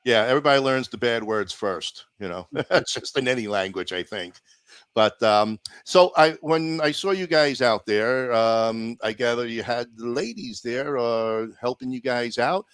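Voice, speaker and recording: A man's voice: medium pitch, normal speed, a bit bright, smooth, no room sound. Male host, dry close sound, laughs a lot, loose and joking. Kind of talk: casual chat.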